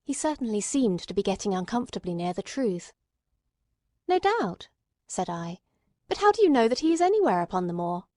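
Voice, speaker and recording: A woman's voice: mid-range voice, big pitch swings, fairly quick, a little mellow, no echo. Woman narrating a classic story, close and dry. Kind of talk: scripted literary narration with acted dialogue.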